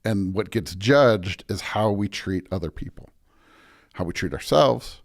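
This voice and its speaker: A man's voice: on the low side, animated, a little slow. A man talking as a pastor in an interview, earnest and emphatic, often building his points through repeated phrases. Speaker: pastor, podcast guest. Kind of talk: conversational interview talk with preacherly stretches.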